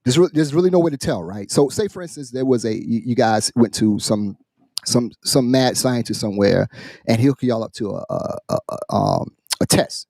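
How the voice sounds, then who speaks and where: A man hosting a casual podcast chat, halting and repeating phrases as he builds up his questions in an animated way. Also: mid-range voice, creaky, no echo, studio quality. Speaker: podcast host posing a question.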